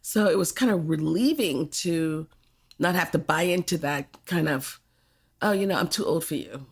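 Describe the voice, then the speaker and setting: Older woman, from Jamaica, low voice, husky and gravelly, candid, laughing often. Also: moderate pace. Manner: frank, laughing, reflective. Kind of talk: candid podcast conversation.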